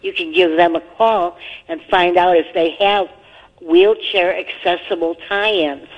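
A woman's voice: on the low side, fairly even intonation, unhurried. A woman calling into a radio show and giving folksy practical advice over the phone. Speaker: caller. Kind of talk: call-in radio chat. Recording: muffled phone-line call audio.

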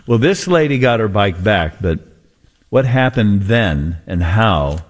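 A male American radio host with a fairly low voice talks conversationally to listeners. He tells things like an unhurried storyteller, stretching phrases to build suspense. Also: very animated. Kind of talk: conversational radio talk, reading listener messages.

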